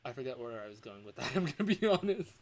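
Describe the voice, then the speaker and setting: A man's voice: medium pitch, quick pace. A man chatting casually on a livestream in a rambling, self-deprecating way. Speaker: livestreamer recording game voice lines. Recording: steady fan hum in background.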